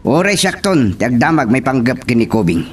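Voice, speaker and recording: Haughty young Ilocano heir, acted by a man, animated pitch. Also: brisk, natural voice. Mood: insistent. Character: haughty, short-tempered young male hacienda heir. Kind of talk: Ilocano radio drama acting. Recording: noisy, rumbling radio drama recording.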